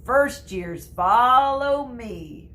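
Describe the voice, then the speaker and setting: A woman voicing a big, hairy, hearty giant man from a storybook, calling out to children in drawn-out shouts. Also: fairly high pitch, animated, voice pushed up, full character voice.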